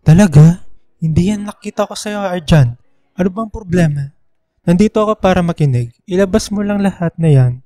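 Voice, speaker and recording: A caring young male friend played by a man in a radio drama. His voice is high for a man yet mellow, recorded dry and close. He speaks gently, with concern, ready to listen. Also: brisk, no room sound, close to an everyday voice. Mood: concerned, gentle. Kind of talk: Filipino radio drama acting.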